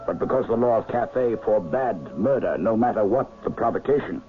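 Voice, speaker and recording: An actor playing a perceptive older gentleman sleuth in a radio drama, speaking in a deliberate, storytelling way. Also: on the low side, animated, soft background music, close to an everyday voice. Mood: knowing, storytelling. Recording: lo-fi noisy vintage radio broadcast.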